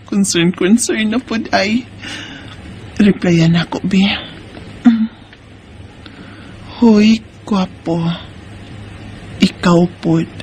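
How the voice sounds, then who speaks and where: A woman's voice: lowish voice, a little mellow, a little hiss or hum. A woman with a Cebuano Bisaya accent playing a married mother, teasing yet guarded, in a radio drama with first-person narration. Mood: playful, teasing.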